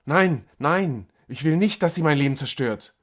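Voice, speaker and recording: A man's voice: fairly high pitch, lively intonation, normal speed, voice pushed up. A man acts out a meek, middle-aged son in an audiobook drama. He is troubled and easily led. His voice is raised, pleading and agitated, full of desperation. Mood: desperate.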